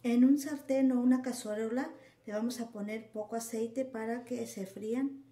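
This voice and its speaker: High-voiced Mexican woman in an echoey room. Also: steady tone, normal speed, mellow. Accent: Mexican Spanish. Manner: homely, uses many diminutives. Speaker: home cook presenting recipes. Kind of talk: casual cooking voice-over, step by step. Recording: roomy echoing home recording.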